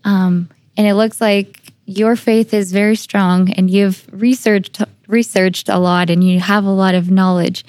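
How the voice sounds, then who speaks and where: A young woman hosts a casual discussion in a fairly flat, mid-pitched voice. She phrases her thoughts tentatively and informally, recorded close with little room sound. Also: unhurried, few pauses, no room sound. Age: young adult. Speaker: podcast interviewer. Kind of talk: casual conversational interviewing.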